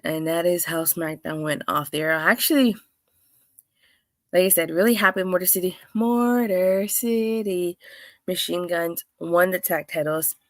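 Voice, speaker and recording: A woman with a hoarse, clogged voice from being under the weather, hosting a chatty solo review with lots of restarts. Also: fairly low pitch, a little slow, dry recording, studio quality. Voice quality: clogged, stuffy voice. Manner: chatty, rambling, with many restarts and fillers. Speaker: wrestling show reviewer, host. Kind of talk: casual solo review talk.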